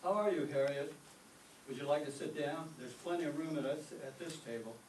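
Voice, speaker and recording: A man's voice: a little high, fairly quick, a little mellow, distant mic, lightly acted. A man playing a shy, earnest and easily flustered male teacher in a staged old-time radio comedy. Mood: earnest, apologetic. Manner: hesitant, flustered. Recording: echoey stage recording, off mic, faint noise.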